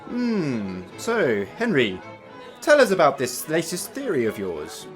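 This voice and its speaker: A jovial Victorian gentleman doctor, acted by a man, teases his friends with extremely animated pitch. Violin music plays clearly behind him, and the delivery is theatrical. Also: slow pace, full character voice. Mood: teasing. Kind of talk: theatrical period drama acting.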